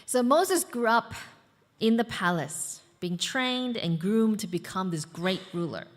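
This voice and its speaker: A woman's voice: mid-range voice, lively intonation, a little slow, in a large hall. A woman in her thirties, from the United States, preaching a sermon as pastor in a conversational way, with fillers and asides to the congregation. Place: church hall.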